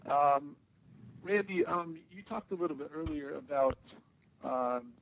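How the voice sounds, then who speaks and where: A man's voice: on the high side, unhurried, gravelly. A man working as a sports reporter, politely and a little hesitantly asking questions on a press call. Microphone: telephone. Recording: dull conference call, faint noise.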